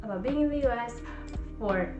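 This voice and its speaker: A woman's voice: on the high side, normal speed, reverberant, highs cut off, over music. A woman in her twenties from Nepal, talking in a casual, reflective vlog full of "like" and "you know.". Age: 20s. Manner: chatty, reflective, many fillers like "like" and "you know". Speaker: vlogger and coach. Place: home in California.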